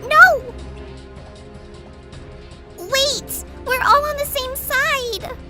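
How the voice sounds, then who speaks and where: A woman's voice: very high voice, very slow, music in the background, full character voice. A woman playing an earnest, worried young peacemaker in an anime game fandub. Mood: frantic pleading.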